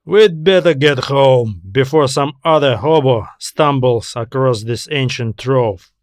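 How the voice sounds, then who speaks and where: Jittery, grudging male space scavenger, performed by a male narrator, greedy, excitable, sci-fi story, dry sound. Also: mid-range voice, a little slow, no room sound, lightly acted. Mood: resigned annoyance.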